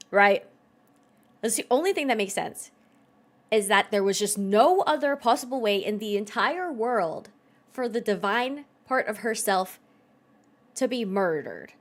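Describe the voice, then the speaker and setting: A woman's voice: medium pitch, a bit bright. A woman giving casual, reactive game stream commentary in a blunt, sweary, incredulous way.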